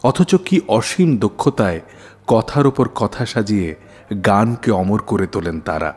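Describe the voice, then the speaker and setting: A man hosting a radio show, narrating scripted stories with dramatic, deliberate phrasing. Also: fairly low pitch, animated, a little mellow, slightly rough. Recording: music behind the voice. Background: background music.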